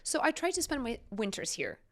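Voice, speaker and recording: A woman's voice: a little high, huge pitch swings, brisk, a bit bright. A woman in her twenties with a Lithuanian accent, telling stories informally and emphatically as an interview guest. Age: 20s. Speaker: entrepreneur guest. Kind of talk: conversational interview storytelling.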